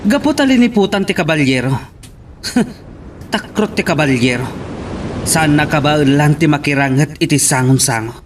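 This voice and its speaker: A man's voice: on the high side, lively intonation, normal speed, distant mic, lightly acted. A man playing a cocky, suspicious village man in a radio drama. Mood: boastful. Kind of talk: Ilocano radio drama acting. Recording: clearly noisy radio drama track.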